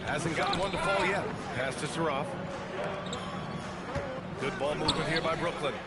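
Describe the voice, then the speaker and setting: A man's voice: fairly high pitch, normal speed, heavy background noise, lightly acted. A man playing a basketball play-by-play announcer in a video game. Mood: calling the action. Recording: loud music behind the voice. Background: music, bouncing basketball.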